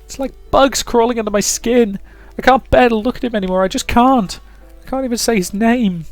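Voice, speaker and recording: A man voicing a distraught, fragile young woman close to madness, speaking haltingly in a visual novel. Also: very high voice, no room sound, faint music behind, pitched above the performer's own voice. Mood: shuddering dread. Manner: halting, repeating words.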